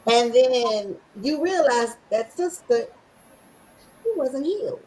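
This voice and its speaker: A middle-aged woman hosts a podcast in a scratchy, slightly high voice. She talks in a sassy, emphatic way and preaches with plenty of slang. Her delivery stays punchy and direct. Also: animated, slow pace, dark tone. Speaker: podcast host. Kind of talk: casual motivational chat.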